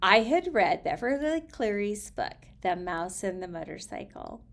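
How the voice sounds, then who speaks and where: Animated, unhurried woman storyteller. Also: medium pitch. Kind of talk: casual personal chat and expressive reading aloud.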